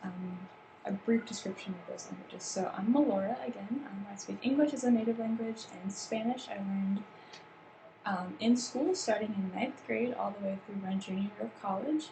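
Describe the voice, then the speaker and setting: A woman's voice: mid-range voice, normal speed. A woman leading a staff training session, explaining ideas at length with frequent fillers and restarts. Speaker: workshop trainer. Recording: roomy with background noise.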